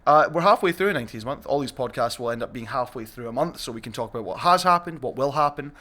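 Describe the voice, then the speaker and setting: A man's voice: medium pitch, animated, quick pace, pushed and bright. A young man hosting a chatty podcast among colleagues. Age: young adult. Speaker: podcast host, shop staff.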